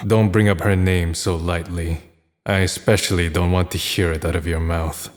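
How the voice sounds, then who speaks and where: A man's voice: sits low, steady tone, normal speed, lightly acted. A man playing a melancholy, resigned young man, subdued and introspective, in a manga dub. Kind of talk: first-person narration and dialogue in manga dub.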